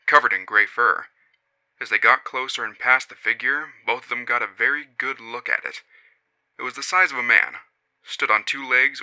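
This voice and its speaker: A man voicing a male witness who recounts his friends' strange encounter in a measured, puzzled and skeptical way. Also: mid-range voice, bright, forceful tone, poor audio. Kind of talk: first-person reading of a witness account.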